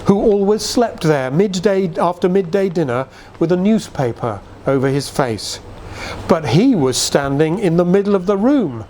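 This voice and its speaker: A man reading a literary story aloud in a dramatic, measured storytelling manner. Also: high-pitched, lively intonation, darkish tone, slight background noise. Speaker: reader. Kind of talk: expressive literary reading of a nostalgic Christmas story.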